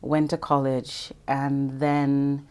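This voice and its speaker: Casting note: middle-aged woman from Jamaica, low voice, reflective interview guest. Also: level tone, extremely slow, warm tone. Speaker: guest, banking executive. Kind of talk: interview conversation.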